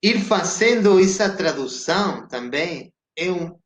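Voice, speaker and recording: A Chilean man, a native Spanish speaker with a Chilean Spanish accent, talking reflectively as a guest actor on a video call, halting and restarting often. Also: high-pitched, expressive. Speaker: guest actor and theater director. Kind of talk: casual interview chat. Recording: roomy echo.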